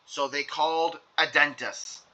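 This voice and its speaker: A man's voice: on the high side, fairly even intonation, measured pace, pushed and bright, rough recording. A young man narrating a children's storybook aloud. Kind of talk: animated read-aloud narration.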